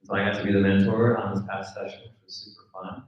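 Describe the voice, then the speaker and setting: Male event moderator, lowish pitch, casual, dull echoey room sound. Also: flat delivery, fast talker, far from the mic. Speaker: event host and screenwriting mentor.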